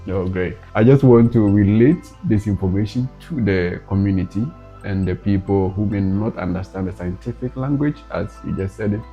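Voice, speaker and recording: Low-voiced male interviewer, dull sound. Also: normal speed, hardly any pauses, soft background music. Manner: encouraging, with frequent short backchannel responses. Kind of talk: interview questions.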